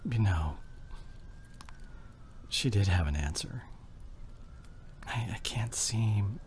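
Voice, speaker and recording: A man playing an injured, fading husband and father in an emotional ASMR audio drama, tender and intimate, with a breathy voice that often drops toward a whisper. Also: sits low, darkish tone, slight background noise. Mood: tender, drowsy. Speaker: audio roleplay voice actor.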